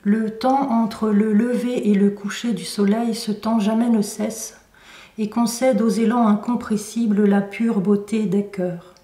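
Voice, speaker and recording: Slow, echoing woman reciting poetry. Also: medium pitch, steady tone, mellow. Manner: measured, deliberate phrasing with pauses. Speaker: poet reading own work. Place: indoor room at a poetry venue. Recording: roomy echo, recorded from a distance.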